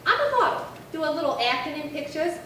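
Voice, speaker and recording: A woman's voice: sits high, very animated, fast, fairly bright tone. A woman playing a brash, slangy, struggling model in a 1950s radio crime drama, sassy and full of complaints. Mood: breezy, griping. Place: live theatre stage reading. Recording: echoey hall, distant mic, faint noise.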